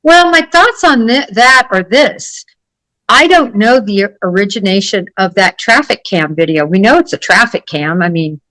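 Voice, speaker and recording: A woman speaking off the cuff as a guest analyst, deliberate and emphatic in her delivery. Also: mid-range voice, fairly bright tone, dry recording, low-quality recording. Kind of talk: unscripted case analysis in an interview.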